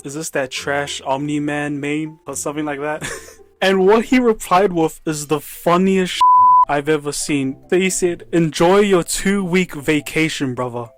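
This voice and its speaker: A young man from New Zealand, a gaming channel host, giving laid-back, amused commentary over his gameplay footage. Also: on the high side.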